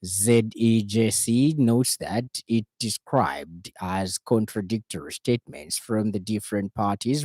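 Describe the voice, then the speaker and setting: Male radio news reader, Zambian accent, low mellow voice, dry studio sound, formal bulletin script. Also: dry recording.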